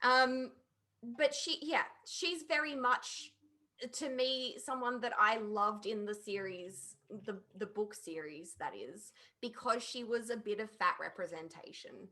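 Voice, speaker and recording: A woman's voice: fairly high pitch, unhurried, pushed and bright. Woman giving emphatic, casual opinions. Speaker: podcast panelist. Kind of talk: casual opinionated review chat.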